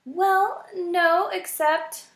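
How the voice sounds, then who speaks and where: A woman's voice: extremely high pitch, steady tone, extremely slow, fairly bright tone, roomy sound, voice pushed up, full character voice. A woman voicing a small, timid female mouse in a children's storybook, fearful and earnest, pleading and stammering.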